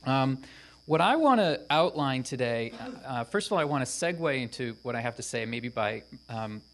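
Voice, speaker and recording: Brief: male academic, mid-pitched voice, relaxed lecture delivery, light hum behind. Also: normal speed. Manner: conversational, lots of ums. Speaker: professor of African politics. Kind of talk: academic panel talk. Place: conference room. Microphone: microphone at a podium.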